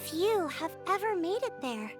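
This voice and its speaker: A woman's voice: extremely high pitch, sing-song, measured pace, music in the background, full character voice. A woman playing a poised, earnest young female leader in an anime game, explaining things courteously and articulately.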